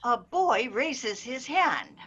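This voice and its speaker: A woman's voice: fairly high pitch, big pitch swings, slow, pushed and bright, breathy edge. A woman performing a reading of jokes and stories over slightly dull video-call audio. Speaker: readers theater performer.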